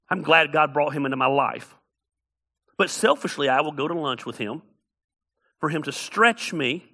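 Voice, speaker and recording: A man's voice: on the high side, bright, forceful tone. A middle-aged man preaching a sermon as pastor, emphatic and repeating key phrases for effect.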